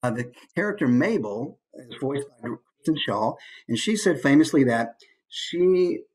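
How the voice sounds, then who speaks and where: A man's voice: medium pitch, a little slow. A man giving a casual, playful instructional talk as a voice actor. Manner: animated, playful.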